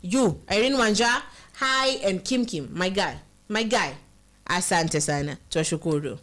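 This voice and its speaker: A Kenyan woman co-hosting a casual live chat, speaking emphatically and quickly in mixed English and Swahili. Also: fairly low pitch, lively intonation, a bit bright. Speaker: co-host reading viewer comments.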